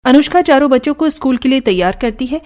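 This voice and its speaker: A woman giving scripted narration for a cartoon story in an even, descriptive way. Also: sits high, quick pace.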